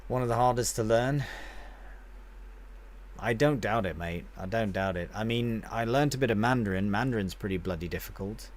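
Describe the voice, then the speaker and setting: A man's voice: lowish voice, moderate pace. A man streaming a game live, chatting casually and rambling as he answers viewers with friendly banter.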